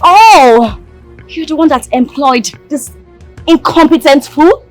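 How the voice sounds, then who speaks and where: A haughty young woman with a high, wildly swinging voice hurls shrill insults at a clumsy waitress, played by a woman. Her fury never lets up. A faint music bed runs underneath. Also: animated, takes it slowly. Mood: furious. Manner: screaming insults, shrill and furious. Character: haughty rich young woman, hot-tempered. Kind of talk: skit acting.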